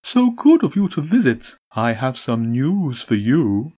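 A man voicing a scheming male pigeon leader who speaks in a high, jolly-sounding voice that turns menacing. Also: sing-song, unhurried, dark tone.

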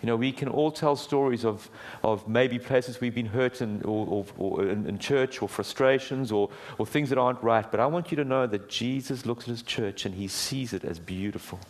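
A middle-aged man delivers a sermon with a booming voice, earnest and conversational. He circles back and restarts phrases as he speaks, his voice at an ordinary middle pitch for a man. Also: normal speed, few pauses. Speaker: guest preacher. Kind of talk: sermon with prayer. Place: church hall.

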